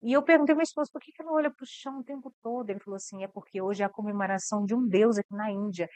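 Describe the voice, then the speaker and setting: Earnest Brazilian woman missionary, crisp voice. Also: mid-range voice, very fast, a little mellow, no room sound. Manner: earnest, repeats words as she thinks. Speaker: missionary guest. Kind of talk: interview about mission work.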